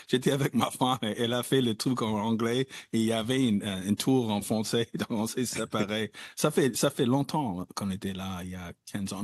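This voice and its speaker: A man hosting a conversational interview, talking in an animated way with wide jumps in pitch. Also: no room sound. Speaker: podcast host.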